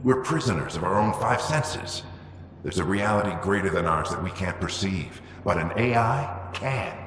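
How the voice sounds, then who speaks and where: A low-pitched, determined military man in a sci-fi game. He argues firmly and insistently, his voice ringing in an echoey hall. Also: lively intonation, moderate pace, noisy background. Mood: insistent, driven. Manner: firm, persuasive, insistent. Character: driven, defiant military officer and visionary father. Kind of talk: video game voice acting.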